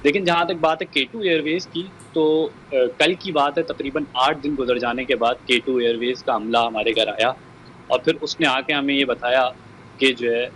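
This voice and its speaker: A man's voice: medium pitch, steady tone, normal speed, fairly bright tone, rough recording. Guy being interviewed, mid voice, mixes in english words, bit of noise behind him. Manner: code-switches into English words. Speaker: bereaved relative being interviewed. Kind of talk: interview appeal, measured account. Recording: faint background noise.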